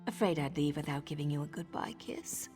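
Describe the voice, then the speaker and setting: A woman voicing a blunt, scornful dark elf assassin in a game. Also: low voice, fairly quick, in a large hall, faint music behind, lightly acted. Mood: wry.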